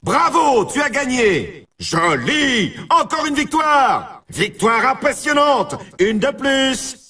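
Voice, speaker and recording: An excitable arcade snowboard race announcer, pitched high and swinging widely. He is brash and showy, punching out exclamations with a bright, forceful edge. It is big video game hype from start to end. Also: slow, slightly rough, distant mic, heavily acted. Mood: hyped, congratulatory.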